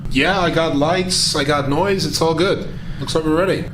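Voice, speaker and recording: A man's voice: a little high, moderate pace. A man playing a tabletop game, casually describing what his character does. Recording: clear ambient noise behind the voice.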